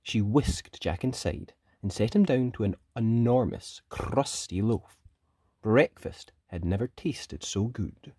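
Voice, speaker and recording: A children's story reader and host giving animated storybook narration, with dramatic emphasis and pauses. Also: mid-range voice, dry recording, clean studio sound.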